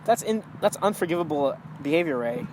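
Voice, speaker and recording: A man's voice: a little high, lively intonation, a little fast, a little mellow, lightly acted. A man playing an opinionated, alarmist author interviewed on a 1920s radio show. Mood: indignant. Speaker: talk show guest, author. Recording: noisy amateur recording.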